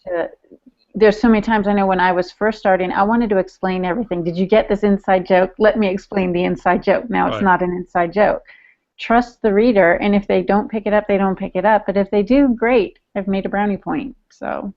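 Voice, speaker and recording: A woman's voice: medium pitch, fairly even intonation, fairly quick, darkish tone. Woman on a dull video call. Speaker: podcast co-host and writer. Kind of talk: casual chat. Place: indoor room at home.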